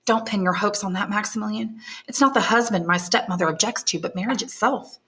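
A woman's voice: medium pitch, brisk, fairly bright tone, lightly acted. A woman reading aloud and voicing an anxious young woman in love, earnest and pleading. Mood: anxious, tender. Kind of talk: audiobook character voice.

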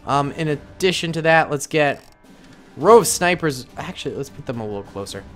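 A young man gives easygoing commentary over a strategy game, joking in slang as he plays. His pitch moves around a lot as he talks. Soft music runs faintly beneath his voice. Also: lively intonation, moderate pace. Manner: playful, jokey slang. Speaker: gaming YouTuber. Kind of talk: casual gameplay commentary.

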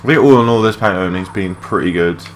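A young man with a low voice giving rambling, matey, sweary gameplay commentary in a casual unscripted way. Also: fast talker. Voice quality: low-pitched voice. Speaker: gaming video creator. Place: his room at home. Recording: clearly noisy home mic recording.

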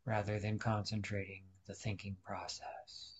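Low-pitched man guiding a meditation. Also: little pitch movement, breathy edge, muffled recording. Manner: deliberate, instructional, pausing between short phrases. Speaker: meditation guide. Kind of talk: guided meditation instruction.

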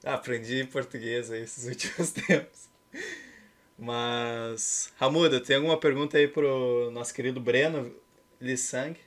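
Brazilian dude hosting a game stream, ironic chatty commentary, normal pitch. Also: male voice, steady tone, measured pace. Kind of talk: casual stream commentary and chat. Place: indoor room at home.

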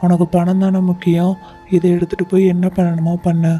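A man's voice: high voice, monotone, brisk, dark tone, lightly acted. A man voicing a meek, hardworking male crow fruit seller in a cartoon, mild and apologetic. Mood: weary. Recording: light music bed.

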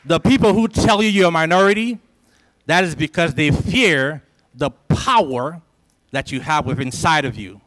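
A man's voice: fairly high pitch, animated, normal speed, fairly bright tone. A man in his forties from Liberia, giving a rousing motivational speech to students with a handheld microphone in a large lecture hall, in a preacher-like cadence full of emphatic repetition. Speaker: symposium speaker.

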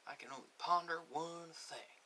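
A man acts out a stern military interrogator in an ASMR roleplay. His voice is very bright and tense, set a little high. He questions in halting, folksy repetitions over a faint hiss. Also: rough recording. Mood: probing, suspicious. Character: stern, folksy male army sergeant interrogating a prisoner. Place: small cluttered room, indoors. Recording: hissy home recording.

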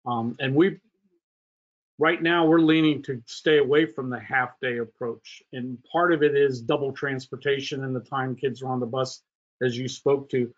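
A man's voice: medium pitch, fairly even intonation, moderate pace. A man giving his view in an online meeting discussion, speaking as a school board chair. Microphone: video call. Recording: dull lo-fi video call audio.

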